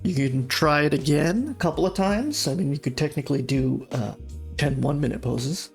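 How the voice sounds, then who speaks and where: A man hosting a casual livestream art class, talking informally and haltingly, with a slightly sore throat from a cold. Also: a little high, animated, gravelly, soft background music.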